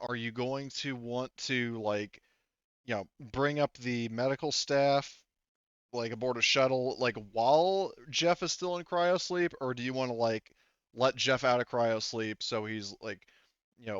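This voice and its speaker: A man speaking casually as a game master, haltingly explaining and planning the game as he goes. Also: mid-range voice, fairly bright tone, clear, resonant tone, dry recording. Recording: slightly dull, dry close mic.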